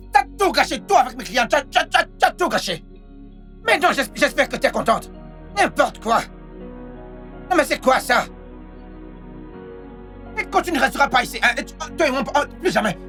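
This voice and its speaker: A man's voice: extremely high pitch, big pitch swings, a little fast, pauses often, sharp tone, soft background music, exaggerated voice. A man playing a volatile, scheming husband in a film melodrama, repeating himself and stammering through his words. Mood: angry, agitated. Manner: repetitive, stammering delivery. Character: volatile, scheming unfaithful husband with a short temper.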